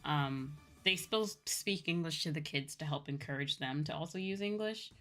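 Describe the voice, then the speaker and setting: A woman with a Midwestern American accent telling stories casually as a livestream host, in an animated, chatty manner. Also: low voice, moderate pace. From Midwestern United States. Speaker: streamer and VTuber host. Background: background music.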